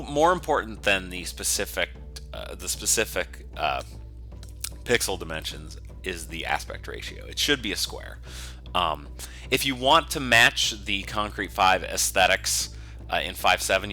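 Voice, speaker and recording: Man, low voice, forward bright tone, conversational tutorial narration, explanatory, faint music underneath. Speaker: software developer teaching a tutorial. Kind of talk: informal screencast tutorial narration.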